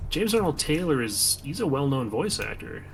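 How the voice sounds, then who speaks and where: A man's voice: fairly high pitch, sing-song, moderate pace. A man co-hosting a stream, talking casually about films and giving his commentary. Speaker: stream co-host. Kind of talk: casual film commentary chat. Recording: clear background noise, room ambience.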